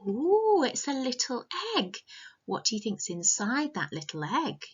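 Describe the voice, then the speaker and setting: A woman's voice: fairly high pitch, very animated, unhurried, breathy edge, lo-fi sound. A woman reading a picture book to young children as a teacher, in an encouraging, sing-song way with questions to the listeners. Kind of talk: interactive children's storytime.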